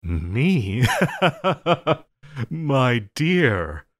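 A man's voice: medium pitch, very animated, fairly bright tone, dry recording, clean studio sound, heavily acted. A man playing an obsessive, lovestruck villain in an intimate audio roleplay, theatrical and giggly, playfully menacing and pleading. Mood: gleefully self-mocking. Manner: theatrical, slow drawling, frequent giggling.

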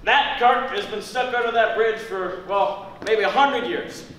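A young man playing a boisterous, boastful store cart hunter in an amateur stage comedy, loud and theatrical. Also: high voice, a little slow. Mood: dismissive. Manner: loud, theatrical whooping and bragging. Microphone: distant camera. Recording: echoey stage recorded from far off, faint noise.

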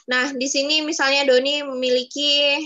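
A woman's voice: high voice, flat delivery, normal speed, bright, forceful tone, highs cut off. A woman in her twenties teaching students in a casual, chatty way over a video call. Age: 20s. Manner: chatty, addresses students as younger siblings. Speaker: webinar speaker.

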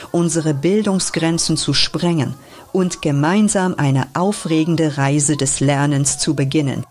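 Casting: voice actor as a ceremonious school head making an upbeat announcement, mid pitch. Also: a little mellow, lightly acted. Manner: formal, ceremonious. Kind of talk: children's audio drama.

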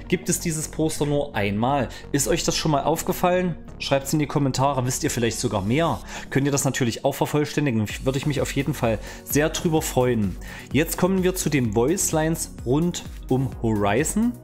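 A man's voice: mid-range voice, darkish tone. A man in his thirties presenting video game news in a casual, explanatory way, recorded close on a studio mic. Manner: informal, explanatory. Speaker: gaming YouTuber. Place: small indoor home streaming room. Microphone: studio microphone on boom arm, close.

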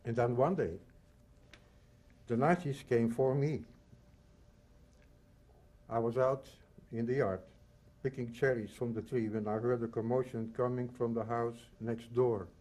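A man in his eighties from the Netherlands and Belgium, reading a prepared personal testimony in measured, solemn short phrases. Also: mid-range voice, fairly even intonation, mellow. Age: 80s. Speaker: Holocaust survivor giving testimony.

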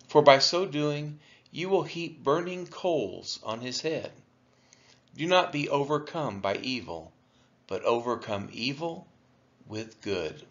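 A man reading scripture aloud in a measured, deliberate way, phrase by phrase with pauses. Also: medium pitch, a bit bright, highs cut off. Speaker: scripture reader for a church.

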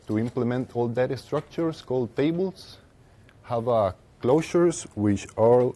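A young man with a Costa Rican Spanish accent giving a technical presentation as a conference speaker, haltingly, with pauses mid-phrase. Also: mid-range voice, warm tone. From Costa Rica. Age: young adult. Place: lecture room with seated audience, indoors.

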